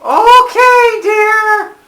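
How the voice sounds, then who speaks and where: A woman playing a nagging, suspicious member of the household, calling out in a shrill, drawn-out way. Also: full character voice. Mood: scolding. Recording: echoey room, shouted from off.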